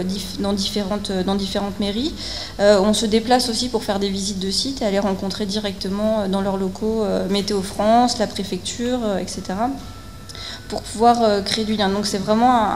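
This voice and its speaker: A woman speaks at a technical conference in a reverberant hall with noticeable background noise. Her voice sits at a medium pitch. She explains things in a halting, semi-spontaneous way, often filling pauses and repeating words as she goes. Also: a little mellow. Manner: hesitant, halting delivery with frequent fillers and repeated words. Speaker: researcher and consultant speaking at a conference.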